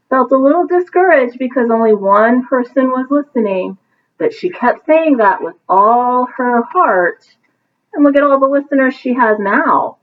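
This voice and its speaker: A woman's voice: a little high, slight background noise. A woman reading a picture book aloud to children like a teacher, with encouraging asides that explain things simply. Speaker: teacher reading a bedtime story. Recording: thin, phone-like narrowband sound.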